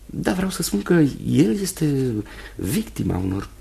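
An actor playing a kindly, nervous young fiancé in a Romanian radio play, earnest and hesitant, often repeating himself. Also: male voice, a little high, very animated, vocal fry, mellow, heavily acted. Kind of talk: Romanian radio theatre acting.

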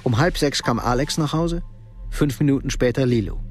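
An actor narrating a radio crime drama in standard German as a sober storyteller. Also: male voice, mid-range voice, normal speed, faint music behind, close to an everyday voice.

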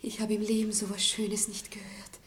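A woman playing a timid, tender young bride-to-be in a lyrical radio play, soft and breathy. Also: medium pitch, completely flat delivery, normal speed, a little hiss or hum, natural voice. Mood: shy wonder. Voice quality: soft, breathy, often half-whispered. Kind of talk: 1960s radio play acting, lyrical verse.

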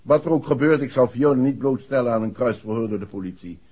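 A man's voice: medium pitch, fast talker, warm tone, breathy edge, lightly acted. An actor playing an indignant, stubborn upper-class father in a 1960s Dutch radio play, speaking curtly and formally. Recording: dull, muffled vintage radio recording.

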